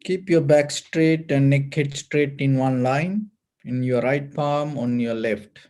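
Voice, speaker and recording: A man leads a guided meditation as a Buddhist teacher, giving calm, deliberate instructions in short phrases. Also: mid-range voice.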